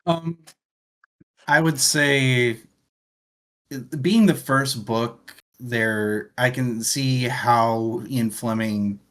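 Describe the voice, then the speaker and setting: A man's voice: medium pitch, drawn-out words, a bit bright. A man co-hosting a book club, giving his thoughts on a book in casual conversation.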